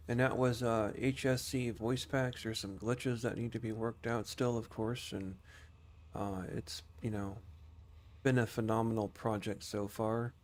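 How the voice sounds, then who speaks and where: A man's voice: medium pitch, a little mellow. A man streaming a game with casual, rambling, conversational commentary.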